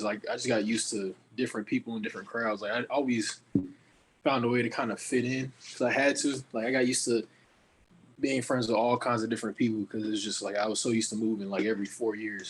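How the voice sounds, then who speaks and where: A young man in his twenties chats easily as a podcast guest, in a loose, slangy way. His voice is mid-pitched, and a faint hiss of noise sits under it. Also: rough recording. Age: 20s. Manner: relaxed, slangy storytelling. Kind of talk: casual chat.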